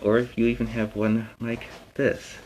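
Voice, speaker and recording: A man's voice: mid-range voice, normal speed, rough recording. A man hosting a stream, talking informally to viewers in an earnest, plain-spoken, emphatic way. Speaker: host of a costumed story time stream. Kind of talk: informal public safety talk to viewers. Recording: clear steady background noise.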